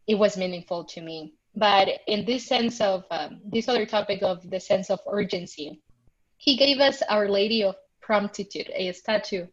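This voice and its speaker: A woman from Mexico with a Mexican accent, a podcast guest earnestly recounting a personal experience over a video call. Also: medium pitch. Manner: earnest, thoughtful, recounts with emphasis. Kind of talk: reflective personal storytelling. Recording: muffled lo-fi video call audio.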